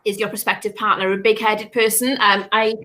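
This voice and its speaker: Bright self-mocking young woman. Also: mid-range voice, flat delivery, brisk. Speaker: comedian guest. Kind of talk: self-mocking comic answer. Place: home room. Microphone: video call.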